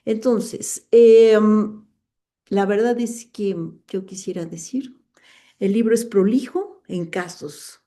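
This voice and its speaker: Mexican woman moderator, warm dark tone, reads audience comments, hesitant fillers. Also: medium pitch. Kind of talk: moderating an online book launch.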